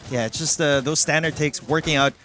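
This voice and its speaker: A man's voice: fairly high pitch, brisk, bright, forceful tone, slight background noise. Filipino man casting an esports match. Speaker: esports shoutcaster. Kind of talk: analytical live game commentary.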